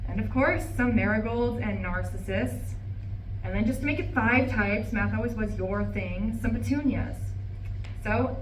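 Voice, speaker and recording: A woman's voice: fairly high pitch, unhurried, lightly acted. A woman in a live play reading, playing a bitter, refined older divorced wife in a resentful, dramatic monologue. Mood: hurt, spiteful. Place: room at a live campus reading. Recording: echoey room, distant mic, faint noise.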